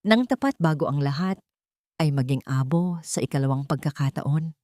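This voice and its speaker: A woman's voice: sits low, animated, moderate pace, warm tone, no room sound, studio quality. A woman giving dramatic scripted narration for an audio drama, solemn and suspenseful.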